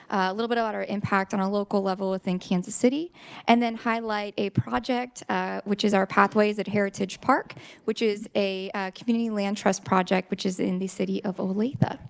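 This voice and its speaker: A woman giving prepared testimony to a legislative committee for a housing nonprofit, steady and earnest. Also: mid-range voice, vocal fry. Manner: steady, earnest delivery with some ums. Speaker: invited speaker for a housing nonprofit. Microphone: committee table microphone.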